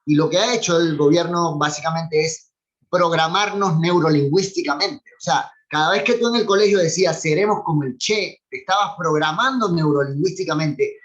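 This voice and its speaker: A man's voice: a little high, fairly quick, a bit bright. Talkative Cuban man on a video call. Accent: Cuban Spanish. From Cuba. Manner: talkative, opinionated, laughs often. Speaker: former cultural animator. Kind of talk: casual chat on a video call. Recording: dull lo-fi video call audio.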